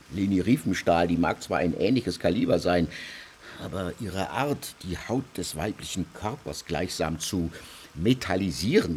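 A man's voice: low voice, a little mellow, a little hiss or hum. An actor playing a cold, doctrinaire legal theorist in a radio play, lecturing his fellow councillors. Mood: dismissive. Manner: lecturing, dry scornful laughs.

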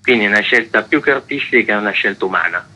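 Male festival organizer, lowish bright voice, measured with restarts, radio interview. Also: low-quality recording. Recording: radio broadcast.

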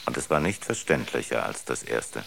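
Ship's computer for radio drama: extremely low, gravelly, coolly rational and pedantic. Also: male voice, big pitch swings, moderate pace, noisy background, full character voice. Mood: coolly factual.

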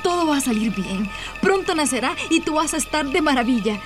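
A woman's voice: sits high, animated, a little fast, over music. A woman playing a resourceful helper in an emergency, soothing and encouraging, in a radio drama.

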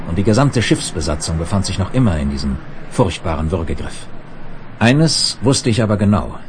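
A grave, authoritative space commander in standard German. The voice is fairly low with wide, dramatic movement, in a seventies science fiction radio play with slight noise. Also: male voice, very animated, normal speed, a little mellow. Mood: grave, narrating. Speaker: lead character and first-person narrator.

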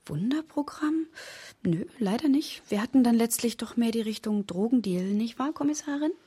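A woman's voice: on the high side, lively intonation, moderate pace, warm tone, close to an everyday voice. A woman playing a cheeky, sardonic female police detective in a radio crime drama, with dry, ironic, colloquial delivery. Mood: feigned innocence.

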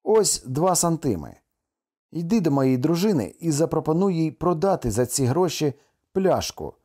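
Male narrator as storybook husband, brooding, urgent, emphatic, lively rising pitch, slightly husky. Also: moderate pace. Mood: urgent, persuasive. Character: brooding husband, stern, then desperate and urgent.

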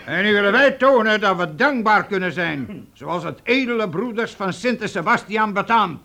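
A hearty guild captain. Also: male voice, sits high, animated, moderate pace, pushed and bright, heavily acted. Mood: rousing. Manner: hearty, boisterous toasting. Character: jovial burly guild captain, loud and hearty. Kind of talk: radio play acting. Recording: music playing behind.

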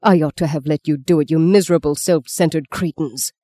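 A man voicing a stern, angry priest in an audiobook, forceful and commanding. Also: fairly high pitch, steady tone, fairly quick, no echo, studio quality, natural voice. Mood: furious. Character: angry commanding priest, fiercely scolding quarrelling men.

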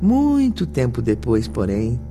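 A man narrating a scripted radio drama in a formal, expressive manner. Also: medium pitch, slow.

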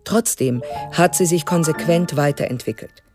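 An actress playing a formal, supportive music teacher reading out a letter in a radio drama, in measured phrases. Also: female voice, sits low, natural voice. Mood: formal, sober. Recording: prominent music under the voice.

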